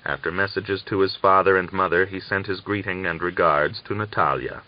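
A man reading a literary novel as an audiobook narrator, in an expressive storytelling style. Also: deep voice, moderate pace. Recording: dull lo-fi audiobook recording.

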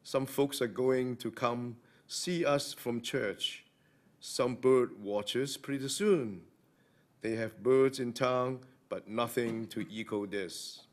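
A man's voice: mid-range voice, steady tone, takes it slowly. An older man reading a poem aloud as an amateur at a podium microphone. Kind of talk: poetry recitation. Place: library meeting room, indoors.

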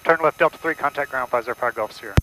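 A male student pilot reads back instructions in a clipped, procedural way. He talks fast at a medium pitch through an aviation headset radio, with clear engine and radio noise. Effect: aircraft radio headset. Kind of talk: radio calls to air traffic control. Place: inside a small airplane cockpit. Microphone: aviation headset mic. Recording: noisy cockpit radio audio.

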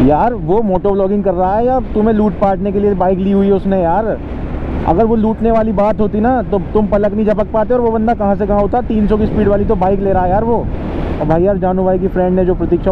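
A man talks in a high, pleading, indignant voice as he rides. The sound is thin and phone-like, captured through a helmet mic with loud road noise. His delivery is casual and colloquial. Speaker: motovlogger. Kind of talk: casual opinion vlog. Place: outdoors, riding a motorbike on a highway. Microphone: helmet-mounted microphone.

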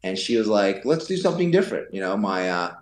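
A man's voice: lowish voice, big pitch swings, fast, roomy sound. A man in his forties telling stories casually as a podcast guest, playful, joking and prone to digress. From born in Taiwan. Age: 40s. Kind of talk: casual conversational storytelling. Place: indoors in a pool house.

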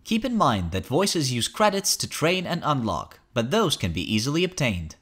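Man narrating a tutorial with lively intonation. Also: mid-range voice, normal speed, slightly rough. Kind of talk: scripted promotional tutorial narration.

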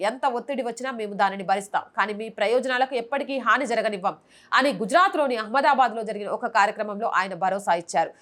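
A woman's voice: sits high, a bit bright. A woman journalist reading scripted news narration as a presenter.

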